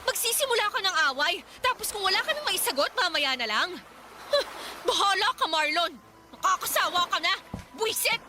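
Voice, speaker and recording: A woman's voice: extremely high pitch, sing-song, normal speed, noisy background. A woman voicing a defiant, resentful gambling wife in a Filipino radio drama, with a confrontational, sarcastic, scolding manner and a Filipino accent. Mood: furious, bitter.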